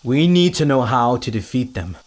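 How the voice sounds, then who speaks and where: An actor playing a dignified island king who speaks in measured, formal sentences. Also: mid-range voice, very animated, lightly acted. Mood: grave. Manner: measured, formal and stately speech. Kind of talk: audio drama acting.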